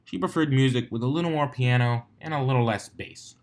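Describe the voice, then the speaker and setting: A man's voice: mid-range voice, a little fast, a little mellow. A man reading a fan fiction story aloud as narrator.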